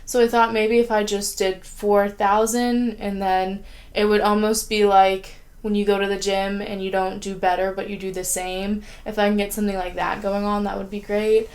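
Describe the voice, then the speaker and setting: A woman's voice: mid-range voice, flat delivery, moderate pace, darkish tone. A young woman vlogging casually about her writing and reading, rambling with lots of "like", sniffly with a sore throat. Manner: chatty, rambling, frequent "like" fillers. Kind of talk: casual vlog chat. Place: at home, indoors.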